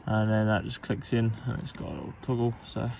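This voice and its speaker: A young man casually narrating electrical work on his small sailboat outdoors, relaxed and rambling with ums and self-corrections, recorded on an action camera. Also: on the low side, fairly quick, vocal fry, a little hiss or hum. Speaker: sailing vlogger. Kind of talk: casual how-to narration while working.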